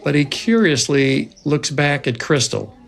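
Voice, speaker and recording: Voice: medium pitch, animated, measured pace, gravelly, a faint crowd. A narrator reading scripted stage directions aloud at a film table read.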